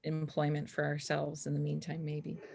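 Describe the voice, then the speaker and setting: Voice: medium pitch, monotone, normal speed, vocal fry, darkish tone, breathy edge. A table read participant chatting casually and asking questions in a conversational way. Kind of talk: casual chat.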